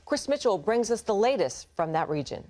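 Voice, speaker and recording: Lady news anchor, average pitch, presenting the news. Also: big pitch swings, normal speed, warm tone, a little hiss or hum.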